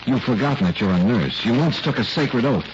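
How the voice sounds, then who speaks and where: A man's voice: medium pitch, expressive, fairly quick, highs cut off, noisy background, lightly acted. An American man playing an urgent, commanding private eye in a vintage radio drama, on an old recording. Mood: stern, urgent. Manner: insistent, commanding, persuasive. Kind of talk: 1940s radio acting.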